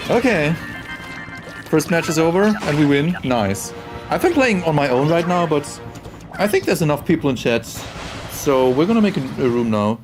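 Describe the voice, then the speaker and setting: German-native guy streaming, chatty and bouncy, fairly high voice, loud game music playing. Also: sing-song, normal speed. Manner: chatty, reads chat aloud, shrieks when hit in game. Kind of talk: casual live-stream chat while gaming.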